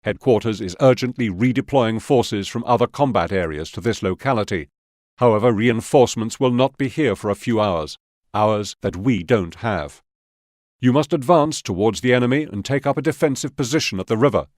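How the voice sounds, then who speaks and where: An actor playing a stern Soviet army officer giving scripted mission briefings in a war game. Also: male voice, fairly low pitch, expressive, moderate pace, darkish tone, no echo, clean studio sound, natural voice. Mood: grave, commanding.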